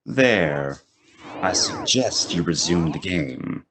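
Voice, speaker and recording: A man playing a commanding, gloating villain in an audio drama, speaking deliberately with smug menace. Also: sits low, expressive, a bit bright, a little hiss or hum, heavily acted. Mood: smug, toying.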